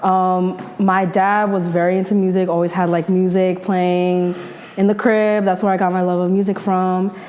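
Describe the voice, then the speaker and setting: A young woman in her twenties with a New Jersey accent, a journalist talking about her upbringing in a relaxed, slangy interview, full of "like" and restarts. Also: fairly low pitch, normal speed, slight background noise. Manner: relaxed slangy talk, many likes and restarts. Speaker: journalist and podcaster guest. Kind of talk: casual interview chat.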